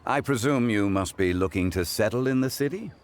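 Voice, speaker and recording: A man voicing an ancient, learned gentleman who speaks in a measured, formal, philosophical way. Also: mid-range voice, lively intonation, lightly acted. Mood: composed.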